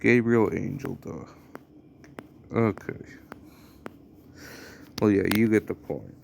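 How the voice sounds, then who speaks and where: A young American man with a slightly low voice rambles through his gameplay at a slow, unhurried pace. He hesitates often, filling gaps with ums and trailing off. A faint background hum sits under his voice. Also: lively intonation, gravelly. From United States. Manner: rambling, hesitant, many fillers. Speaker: gameplay commentator. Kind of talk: casual gameplay commentary.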